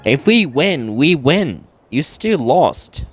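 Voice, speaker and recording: A woman's voice: deep voice, sing-song, slow. A woman giving casual commentary as she plays a video game, with a sardonic, teasing, mock-grumpy manner. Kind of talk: casual play-along commentary. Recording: game music behind the voice.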